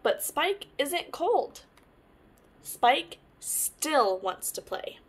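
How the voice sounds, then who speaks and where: Slow, very animated young woman reading to children. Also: sits high, slight background noise. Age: young adult. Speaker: storytime host. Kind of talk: children's picture book read-aloud.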